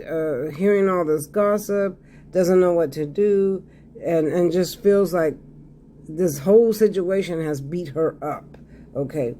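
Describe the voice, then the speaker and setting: Man, hoarse, high pitch, mellow tone, casual tarot reader, keeps checking in with viewers. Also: a little hiss or hum. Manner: repeats phrases, checks in with frequent "okay?".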